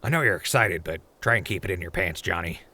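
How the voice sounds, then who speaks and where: A man's voice: low-pitched, fast talker, pushed and bright, no echo, full character voice. A man playing a wry, easygoing ghoul wanderer in a post-apocalyptic audio drama, talking casually and jokingly.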